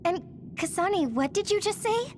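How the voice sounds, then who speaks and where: A woman voicing a soft, hesitant young girl in an anime-style video game, tentative in manner. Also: extremely high pitch, lively intonation, moderate pace, background noise. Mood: puzzled.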